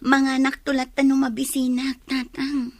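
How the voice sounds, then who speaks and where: A woman's voice: high-pitched, monotone, moderate pace, darkish tone, no room sound. A woman playing a despondent, regretful young village daughter in a radio drama, plaintive and downcast, with an Ilocano accent.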